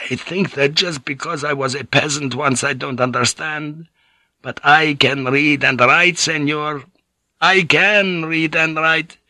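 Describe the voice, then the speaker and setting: Man putting on an old friar, agitated, breathless, bright edgy voice, dry. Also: fairly high pitch, dry recording, pitched above the performer's own voice, exaggerated voice. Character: ranting feverish old Spanish friar, bitter.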